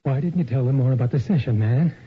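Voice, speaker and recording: A man's voice: mid-range voice, fast talker, soft background music, lightly acted. A man playing an earnest, anxious, informal photographer's assistant in a radio drama. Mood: uneasy, insistent. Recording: thin phone-like 1980s radio recording.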